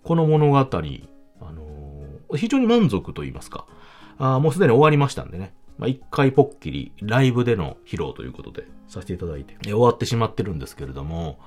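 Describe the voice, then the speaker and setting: Animated low-voiced Kansai man chatting. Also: fast. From Kansai, Japan. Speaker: podcast host. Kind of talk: casual solo chat. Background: background music.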